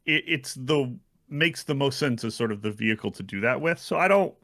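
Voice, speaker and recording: A man's voice: medium pitch, lively intonation, a little fast, fairly bright tone, no room sound, clean studio sound. A man co-hosting a podcast, casually discussing video games. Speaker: podcast co-host.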